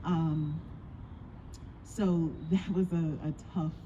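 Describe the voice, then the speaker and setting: A woman director talking in a halting, reflective way at a live Q&A. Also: deep voice, steady tone, warm tone. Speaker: audio play director. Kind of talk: live Q&A talk. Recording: muffled, noisy live event recording.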